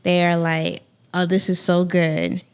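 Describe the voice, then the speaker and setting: A woman with a slightly low voice co-hosts a podcast. She interviews in a casual, conversational manner. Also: little pitch movement, measured pace, clear, resonant tone. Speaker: podcast co-host.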